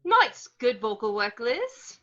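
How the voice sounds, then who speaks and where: A woman plays a brisk, encouraging coach with a bright, highish voice, like a drama teacher. The recording is slightly dull and lo-fi. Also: sing-song, exaggerated voice. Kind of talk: amateur table read of a film script. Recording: dull lo-fi home microphone.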